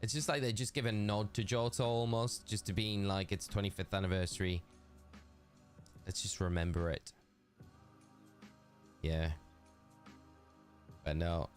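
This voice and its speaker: A man's voice: on the low side, steady tone, unhurried, pauses often, faint music behind. A man with a Geordie accent chatting casually and rambling on a live game stream, talking to his chat.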